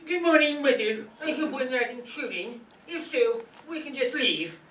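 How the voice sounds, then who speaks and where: A woman's voice: high voice, expressive, normal speed, fairly bright tone. A woman playing a timid, easily intimidated father in a radio soap parody, meek and hesitant. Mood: apologetic. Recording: distant echoey stage, faint noise.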